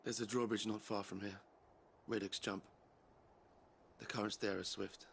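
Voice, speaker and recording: A man's voice: fairly low pitch, little pitch movement, normal speed, vocal fry, a little hiss or hum. An actor playing a sombre, earnest man in a fantasy video game cutscene. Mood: grieving, grave.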